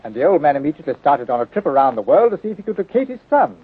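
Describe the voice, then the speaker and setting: An actor playing a hearty, eager, slightly awkward upper-class British gentleman in a 1930s radio drama. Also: fairly high pitch, sing-song, rapid-fire, slightly rough. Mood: earnest. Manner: hearty, old-fashioned exclamations. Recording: thin tinny 1930s radio recording.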